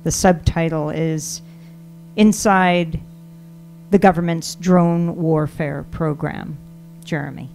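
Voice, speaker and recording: Slow-spoken woman moderator. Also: low-pitched, fairly even intonation, gravelly, a little mellow. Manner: unhurried, reads titles with pauses. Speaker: panel moderator. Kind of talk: introducing the next speaker.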